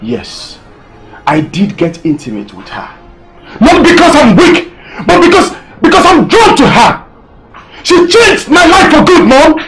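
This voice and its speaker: Actor as an impassioned son. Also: male voice, very high voice, huge pitch swings, unhurried, music in the background, heavily acted, poor audio. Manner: defensive and impassioned, near tears. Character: grown son defending his affair, emotional.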